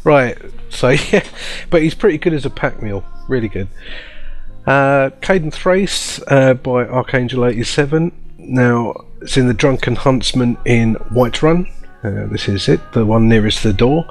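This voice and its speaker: A man's voice: mid-range voice, a little slow. A man hosting a casual showcase of game mods in a chatty, informal way over background music. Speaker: video host.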